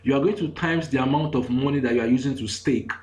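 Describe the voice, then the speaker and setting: A man's voice: medium pitch, fast talker, a little mellow. Nigerian man teaching an online class. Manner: repetitive, keeps asking "you get me?". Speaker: online course instructor. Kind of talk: screen-share lesson.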